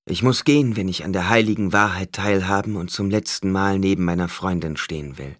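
A man's voice: sits low, a little fast, no echo, clean studio sound, natural voice. A man voicing a grieving woman of a desert tribe in an audiobook, solemn and a touch raised.

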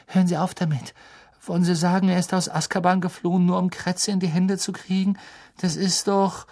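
Man acting an incredulous, higher-pitched teenage boy. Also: little pitch movement, a little fast, darkish tone. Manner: sceptical, questioning. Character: incredulous, protesting teenage schoolboy friend.

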